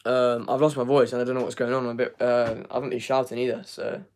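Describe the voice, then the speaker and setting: Hoarse young man, flat rambling delivery. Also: mid-range voice, quick pace. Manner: rambling, repeats words, many ums. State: losing his voice. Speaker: fan channel host. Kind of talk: live match review, reading chat.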